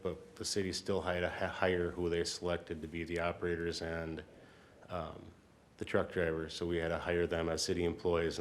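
A man speaking as a city staff member, explaining things at a council meeting in an informal, halting way. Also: deep voice, slight background noise.

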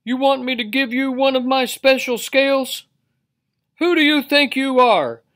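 Guy doing a vain dramatic fish for a kids book, high and whiny, kinda snooty. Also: expressive, normal speed, a bit bright, exaggerated voice. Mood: indignant. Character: proud, vain, indignant young male fish. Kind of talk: children's storybook read-aloud.

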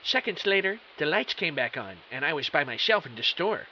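A man's voice: fairly high pitch, big pitch swings, fairly quick. A man playing a bewildered computer technician who narrates his own mystery audio drama with earnest, melodramatic emphasis. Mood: dramatic, intrigued. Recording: dull, hissy audio.

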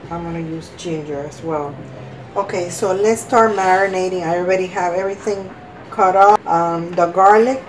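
Female home-cooking host, lowish hoarse voice, unhurried and halting, music behind. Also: poor audio. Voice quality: slightly hoarse. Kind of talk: casual cooking demonstration. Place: home kitchen, indoors.

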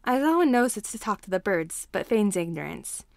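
A woman's voice: medium pitch, very animated, normal speed, creaky, darkish tone, no room sound. Woman reading a story, close dry sound. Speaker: fan fiction reader. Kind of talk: scripted story narration.

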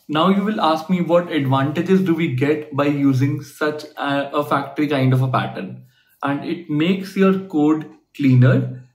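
A young man, hosting a coding tutorial and explaining code step by step in a steady teaching manner, asking himself questions as he goes. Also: a little high, echoey room. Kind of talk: screen-share programming lesson. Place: small indoor room at a desk.

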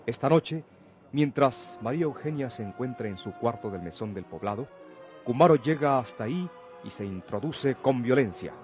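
A man's voice: mid-range voice, expressive, moderate pace, a little mellow, faint music behind. A man doing dramatic scripted narration for a vintage radio serial.

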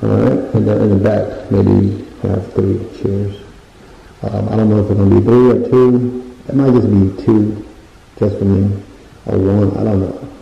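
A man's voice: fairly low pitch, level tone, measured pace, creaky, slight background noise. A man walking through his unfinished basement with a handheld phone, talking casually and rambling as he hunts for words and points things out. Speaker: home renovation vlogger. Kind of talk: casual walkthrough narration. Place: unfinished basement room with bare drywall, indoors. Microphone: handheld phone camera. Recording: echoey bare room, dull lo-fi sound.

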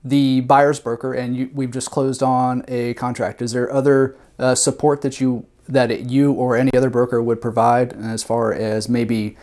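Male host, forties, average pitch, informal interviewer asking questions. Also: flat delivery, moderate pace, hardly any pauses, darkish tone. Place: small office meeting room, indoors. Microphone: lapel mic.

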